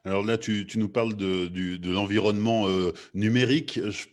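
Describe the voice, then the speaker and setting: A man hosting a discussion, asking informal questions haltingly and rephrasing them as he goes. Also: on the low side, steady tone. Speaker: host, panel moderator. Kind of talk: informal interviewing.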